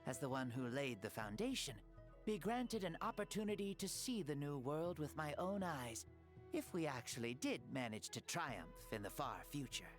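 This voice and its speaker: A genial ancient founder. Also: male voice, on the high side. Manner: gentle, lively, measured storytelling. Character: ancient man, a founder returned from death, genial. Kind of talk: game cutscene dialogue. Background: soft game music.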